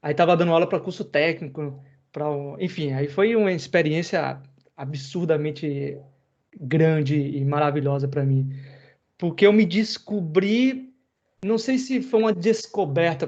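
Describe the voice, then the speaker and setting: A man from the interior of Bahia with a Bahian accent tells his story casually on a podcast. His voice sits a little high, and the audio is slightly dull. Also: normal speed, a little mellow. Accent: Bahian Portuguese. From interior of Bahia, Brazil. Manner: easygoing, joking, storytelling. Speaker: podcast co-host.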